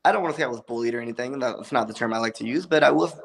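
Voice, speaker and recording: A young man from Puerto Rico with a lisp, a wrestler answering interview questions in a relaxed, joking way with many ums. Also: mid-range voice, very fast, vocal fry. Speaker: wrestler, guest. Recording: long reverb.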